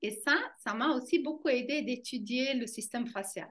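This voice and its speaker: Woman, slightly high voice, animated, conversational personal account. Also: normal speed. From German-speaking Switzerland. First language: German. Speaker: interview guest, fascia trainer.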